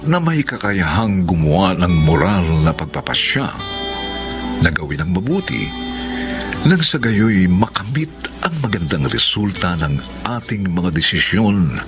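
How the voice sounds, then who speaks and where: A man announces a Filipino radio drama in a solemn scripted style. His voice is deep and very slow, over loud music. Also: big pitch swings. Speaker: narrator, announcer and director.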